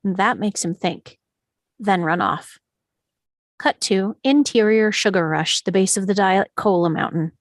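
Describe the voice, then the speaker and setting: A woman's voice: mid-range voice, measured pace, no echo, clean studio sound. A woman reading stage directions as the narrator of a screenplay table read.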